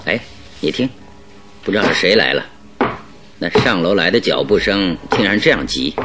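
Detective lead: low voice, lively intonation, shrewd, unruffled and wry, classic radio play. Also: male voice, normal speed, over music. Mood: serious, alert. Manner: calm, wry. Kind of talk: radio drama acting.

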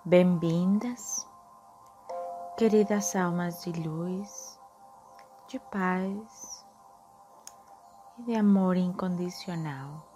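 A woman leading a guided meditation in a soft, soothing, often breathy manner with drawn-out phrases. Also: on the low side. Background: soft music.

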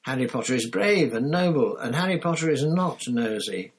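A man's voice: medium pitch, animated, moderate pace, breathy edge, pitched above the performer's own voice. A man voicing a timid, devoted male house elf who talks earnestly. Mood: protective. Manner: earnest, eager to please.

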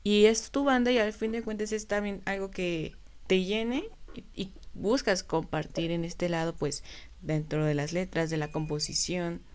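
Lady interviewer, kinda low voice, rambly questions. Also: fairly even intonation, measured pace, darkish tone. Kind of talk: casual interview.

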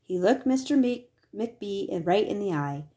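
A woman reading a children's picture book aloud as scripted narration, with expressive delivery. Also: mid-range voice, unhurried. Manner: expressive, acts out the dialogue. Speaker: storybook reader.

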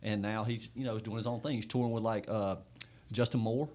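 A man's voice: on the low side, steady tone, brisk, darkish tone. A man hosting a podcast, telling a story in a chatty, loose way with lots of fillers. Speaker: podcast host. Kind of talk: casual podcast conversation.

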